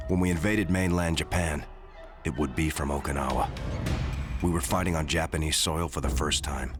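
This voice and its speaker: A male actor playing a sombre American marine who narrates the Pacific war in a measured, scripted cinematic style. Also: rumbling low, fairly even intonation. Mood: grave, reflective. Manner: measured, grave. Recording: prominent music under the narration.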